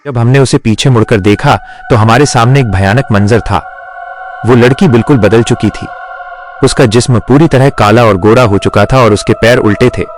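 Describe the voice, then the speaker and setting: A man's voice: medium pitch, normal speed, slight background noise. A man narrating a scripted horror story in the first person.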